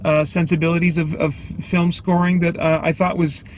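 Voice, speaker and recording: American male vlog reviewer, slightly high voice, conversational and halting, light background noise. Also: little pitch movement. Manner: halting, thinking aloud, self-interrupting. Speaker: movie reviewer and host. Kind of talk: casual off-the-cuff review vlog. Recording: clear background noise, ambient sound.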